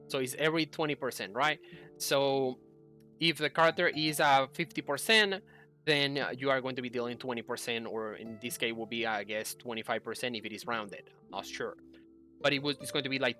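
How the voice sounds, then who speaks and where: A man's voice: mid-range voice, sharp tone. A young man giving a casual, hesitant game guide as a video host, explaining things and correcting himself as he goes. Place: indoor room at a computer. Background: music.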